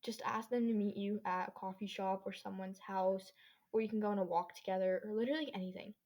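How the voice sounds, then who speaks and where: Casting: teen female podcast host, average pitch, informal and chatty, wandering off on slangy tangents. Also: fairly even intonation, fast talker, a little mellow. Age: teenager. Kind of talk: casual solo podcast chat. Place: own bedroom.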